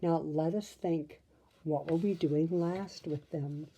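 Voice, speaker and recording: A woman voicing a fond, fussing female good fairy in a storybook, fluttery yet brisk. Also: low voice, steady tone, dark tone, breathy edge, heavily acted. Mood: firm. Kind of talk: storybook character voice.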